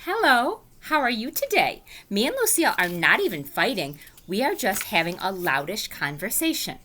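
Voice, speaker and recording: A woman voicing a chatty, spunky kindergarten girl in a storybook read-aloud, eager and loud when excited. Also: mid-range voice, moderate pace.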